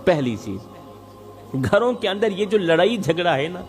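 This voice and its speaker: A male preacher with a high-pitched voice delivers a moral sermon in a storytelling style. He exhorts his listeners emphatically, building points through rhetorical repetition. Faint music plays behind his voice. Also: sing-song, unhurried.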